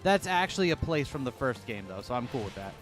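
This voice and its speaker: A man's voice: medium pitch, sing-song, fast talker, over loud music. A man doing casual live gameplay commentary as a streamer, with joking, playful asides. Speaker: game streamer.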